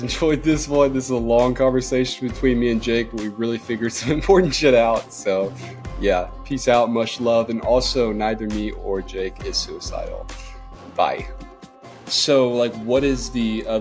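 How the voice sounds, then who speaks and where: A man's voice: medium pitch, normal speed, darkish tone, faint music behind. A young man hosting a podcast, talking in a loose, rambling way with lots of fillers. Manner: rambling, laid-back, lots of "like" and "uh". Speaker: podcast host. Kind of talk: casual podcast chat.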